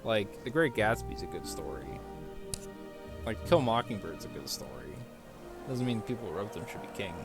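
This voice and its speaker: A man's voice: lowish voice, very animated, moderate pace. A young man giving casual, jokey commentary on a game playthrough, often mimicking lines. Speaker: gaming streamer. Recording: loud music behind the voice.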